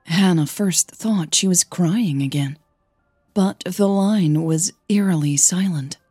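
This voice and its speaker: Female narrator for audio fiction. Low, husky voice, measured and dramatic delivery. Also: warm tone, no room sound, clean studio sound, soft background music.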